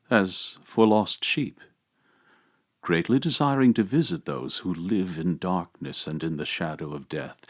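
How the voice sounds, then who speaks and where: A man reading an ancient religious homily aloud in a solemn, theatrical way with emphatic phrasing. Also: deep voice. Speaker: lay reader of liturgical texts.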